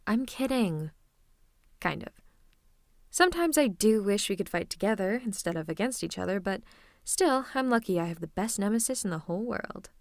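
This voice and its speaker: A woman's voice: medium pitch, no echo, clean studio sound, lightly acted. A woman playing a playful female supervillain, affectionate and teasing with theatrical menace, in an ASMR roleplay. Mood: sultry, teasing. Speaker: voice actor. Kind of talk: ASMR roleplay acting, one-sided conversation.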